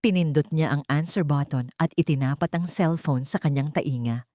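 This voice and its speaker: A woman's voice: sits low, normal speed, warm tone, no echo, clean studio sound. A woman reading a dramatic scripted story as an audiobook narrator.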